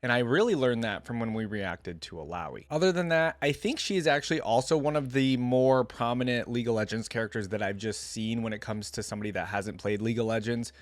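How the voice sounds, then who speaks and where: A man's voice: medium pitch, brisk, few pauses, no room sound. A man hosting a reaction video in a casual, informal way, moving between reading aloud and musing on what he reads. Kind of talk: casual reaction commentary mixed with read-aloud story text.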